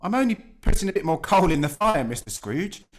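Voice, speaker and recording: An actor playing a meek, polite, eager office clerk in a radio-style drama, deferential and apologetic. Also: male voice, fairly high pitch, sing-song, brisk. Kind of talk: vintage radio drama acting.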